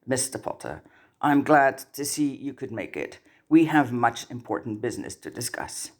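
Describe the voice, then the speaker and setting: Narrator voicing a monotone, formal goblin banker. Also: mid-range voice, normal speed. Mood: stern, formal. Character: stern formal male goblin bank account manager.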